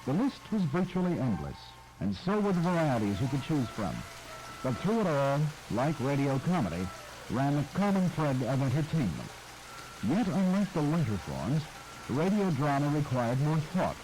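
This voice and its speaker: A man's voice: on the high side, sing-song, normal speed, darkish tone. A man hosting with scripted narration addressed to the listener, dramatic and emphatic in phrasing, recorded with rain noise over it. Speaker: host of radio nostalgia documentary. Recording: noisy archive audio under rain.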